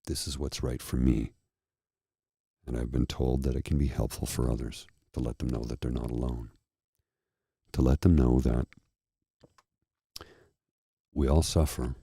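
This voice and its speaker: A man with a very deep, dark, husky voice speaks slowly and earnestly, a tired frog in his throat. The sound is dry and close, with no room around it. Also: steady tone, no echo. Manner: slow, earnest, heartfelt. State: tired and a little froggy-throated. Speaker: host. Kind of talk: candid personal talk to viewers.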